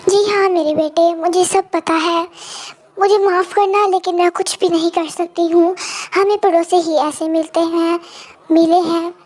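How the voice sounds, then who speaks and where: A gentle mother parrot speaks in a very high voice as a woman acts her out. She is polite and apologetic, a long-suffering character from a children's moral story. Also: flat delivery, unhurried. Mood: tender, weary.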